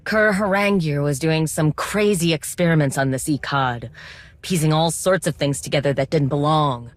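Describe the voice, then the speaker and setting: An actress playing a friendly, determined droid tinkerer in a video game, talking in a casual, reassuring way. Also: female voice, low voice, moderate pace, no echo, close to an everyday voice. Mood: friendly, upbeat.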